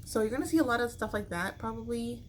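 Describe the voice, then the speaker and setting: A woman's voice: a little high, fast. A middle-aged American woman from Chicago chatting casually to her viewers in a rambling, informal, slangy way. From Chicago, United States. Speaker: vlogger. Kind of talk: casual chat to camera. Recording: noticeable background noise.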